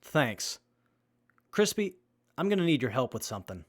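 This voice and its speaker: A man acting as an earnest, capable young survivor with a measured, methodical manner in a post-apocalyptic audio drama. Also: medium pitch, big pitch swings. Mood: calm.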